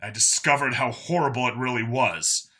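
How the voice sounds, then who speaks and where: A man's voice: medium pitch, normal speed, bright, forceful tone, natural voice. A man voicing an exasperated male cop in a story, earnestly insisting on explaining himself. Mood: earnest, insistent.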